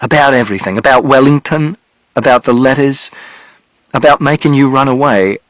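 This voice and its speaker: A man reading an audiobook voices a furious middle-aged father who shouts and pleads. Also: medium pitch, normal speed, no echo, lightly acted, low-quality recording. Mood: pleading. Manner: shouting, raw, then pleading.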